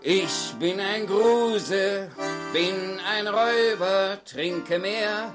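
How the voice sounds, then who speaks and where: A man's voice: high voice, very slow. A man playing a boozy, roguish robber who sings drawling folk ballads in a tavern in a radio play. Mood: melancholy, roguish. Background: accordion and guitar music.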